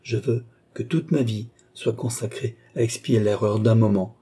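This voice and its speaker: A man's voice: medium pitch, steady tone, normal speed, warm tone, slightly rough. A man playing a remorseful young man, pleading fervently and solemnly in an audiobook story. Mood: earnest remorse.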